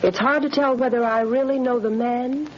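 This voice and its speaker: An actress playing a calm, loyal young woman who speaks gently and reassuringly in a 1930s radio drama. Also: a little high, moderate pace, background noise, lightly acted. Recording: muffled 1930s radio recording.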